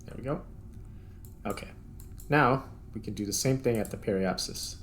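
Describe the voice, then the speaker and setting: A man's voice: mid-range voice, moderate pace, a bit bright, slight background noise. A man giving casual, unscripted gameplay commentary, muttering step by step as he thinks aloud. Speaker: host playing and narrating a video game.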